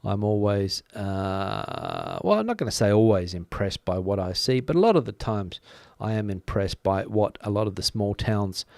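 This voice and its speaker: Chatty middle-aged man, smooth dry voice. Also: fairly low pitch, huge pitch swings, moderate pace, darkish tone, no echo. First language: English. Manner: chatty and rambling, many "uh" fillers. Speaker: news channel host. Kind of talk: casual live-stream commentary. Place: home studio in front of a backdrop picture.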